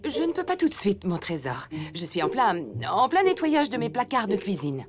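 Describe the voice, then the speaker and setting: A woman voicing an affectionate, practical elephant mother in a children's cartoon, expressive and motherly. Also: mid-range voice, normal speed, crowd noise. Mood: busy. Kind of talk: animated children's cartoon dialogue. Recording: music behind the voice.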